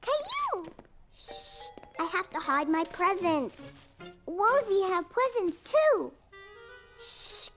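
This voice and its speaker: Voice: very high-pitched, wildly swooping pitch, slow, long pauses, music in the background, full character voice. An actor playing a cheerful, curious four-year-old boy in a preschool cartoon, with a wide-eyed, eager, childlike manner. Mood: secretive, eager.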